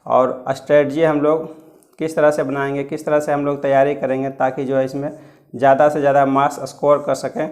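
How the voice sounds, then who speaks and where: A man's voice: on the high side, darkish tone. A man with an Indian accent explaining exam guidance as a coaching teacher, repeating and rephrasing his points in two languages. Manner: repeats and rephrases points, switching between Hindi and English.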